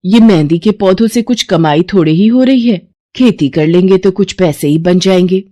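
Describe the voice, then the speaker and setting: A woman's voice: fairly low pitch, mellow, natural voice. A woman voicing a hardworking widowed mother who scolds her daughter and is always in a rush. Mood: pleading. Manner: scolding, hurried.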